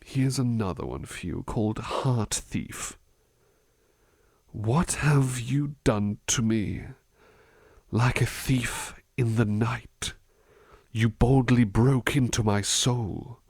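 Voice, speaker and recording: A man playing a gentle, devoted butler in an intimate ASMR roleplay, soft-spoken, courteous and reassuring, with hesitant phrasing. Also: mid-range voice, slow pace, no room sound, studio quality. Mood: yearning. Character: gentle, devoted, polite butler attending to a master.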